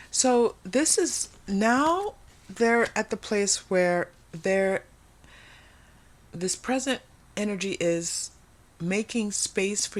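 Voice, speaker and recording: A woman giving a casual, intuitive tarot reading to viewers, thinking aloud conversationally and repeating phrases for emphasis. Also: fairly low pitch. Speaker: tarot reader and host.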